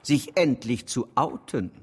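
Pompous, husky male political schemer. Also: medium pitch, slow, darkish tone. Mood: scheming. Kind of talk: satirical radio play dialogue.

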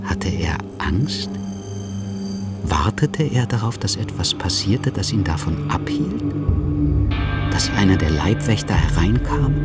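An actor playing a writer who narrates a literary radio play in a grave, measured, suspenseful manner. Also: male voice, fairly low pitch. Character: grave male writer narrating his story. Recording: clear music behind.

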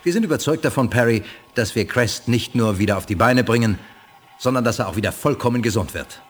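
A man's voice: mid-range voice, animated, a little fast, a little hiss or hum, lightly acted. A man playing an earnest, assured physician on a space expedition in a 1980s German radio play, speaking in a reasoned way. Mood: confident.

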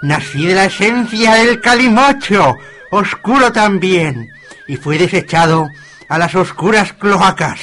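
A man's voice: high voice, a little slow, fairly bright tone, soft background music. A man voicing a solemn, portentous fetus spirit in an amateur radio drama. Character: grandiose unborn fetus spirit, male, ominous.

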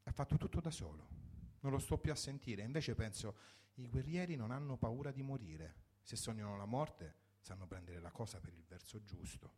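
A man's voice: fairly low pitch, lively intonation, normal speed, warm tone, breathy edge. A man reading a first-person story for radio in a reflective, wry manner, in short sentences. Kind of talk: dramatic literary reading for radio.

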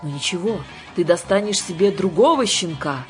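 Женщина играет властную и хитрую ведьму-гадалку из книги, говорит грубовато, театрально и таинственно. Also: female voice, lowish voice, animated, normal speed, faint music behind, pitched above the performer's own voice, close to an everyday voice. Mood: mysterious. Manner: brusque, mysterious, colloquial. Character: bossy, cunning witch fortune-teller woman, gruff and theatrical.

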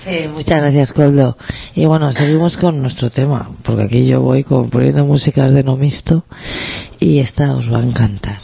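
A man's voice: medium pitch, normal speed, vocal fry, warm tone, breathy edge, no echo. A man co-hosting a radio talk show, giving indignant, ironic commentary in a casual discussion. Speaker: radio co-host.

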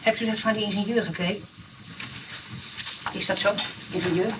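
An actress playing a bossy, excitable but kind-hearted nursing sister in a comic radio play, brisk and scolding, with a Netherlands Dutch accent. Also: female voice, medium pitch, echoey room, lightly acted. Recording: distant, noisy old radio recording.

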